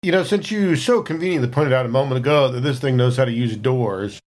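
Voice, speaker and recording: A man's voice: mid-range voice, a little fast, darkish tone. A man playing a tabletop RPG, chatting casually with dry, wordy humour. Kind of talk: casual, animated game-table chat.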